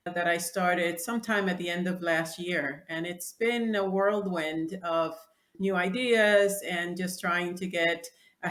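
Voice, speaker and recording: A woman's voice: on the low side, a bit bright. A woman in her sixties from the Dominican Republic, a Spanish native speaker, answering interview questions in a reflective way with frequent restarts. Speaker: guest, clothing designer. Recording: echoey room.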